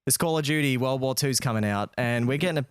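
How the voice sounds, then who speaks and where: A man's voice: mid-range voice, fast talker, smooth, no echo, studio quality. A young Australian man co-hosting a gaming podcast, giving news in a laid-back, matey, casual way.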